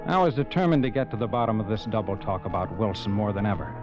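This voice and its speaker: A man's voice: lowish voice, natural voice. An actor playing a brash talent agent who narrates his own story and pushes insistently, in 1940s radio acting. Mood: narrating. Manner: brisk, pushy, insistent. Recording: dull old radio recording with faint music.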